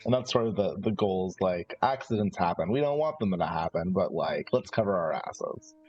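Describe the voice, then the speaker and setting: Deep-voiced male tabletop player, husky, hesitant and conversational, thinking out loud on a somewhat muffled mic. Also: lively intonation, normal speed. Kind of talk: casual out-of-character game discussion. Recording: slightly dull, lo-fi microphone sound.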